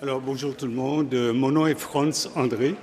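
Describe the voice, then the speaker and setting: A man's voice: mid-range voice, fairly even intonation, moderate pace, a little mellow. A man from Haiti, a community leader, giving an earnest statement at a press conference.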